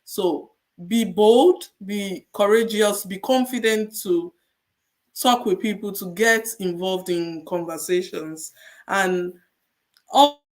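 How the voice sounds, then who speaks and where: Encouraging Nigerian woman, measured advice. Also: medium pitch. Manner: measured, encouraging, repeats key points. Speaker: registered nurse guest. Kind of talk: podcast interview advice.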